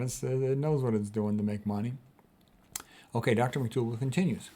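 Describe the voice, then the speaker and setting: A sixty-year-old male physician reads quotes aloud and comments on them at length. His voice sits at a middle pitch. He talks casually but with emphasis, circling back to repeat his main points. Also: a little mellow. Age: 60s. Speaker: physician host.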